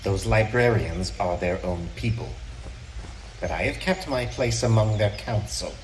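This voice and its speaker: A man playing an imperious, menacing fantasy lord who speaks in formal, measured, condescending phrases for an audio drama. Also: lowish voice, expressive, reverberant, a little hiss or hum, poor audio. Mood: quiet threat. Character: imperious, menacing elven lord, stern and contemptuous.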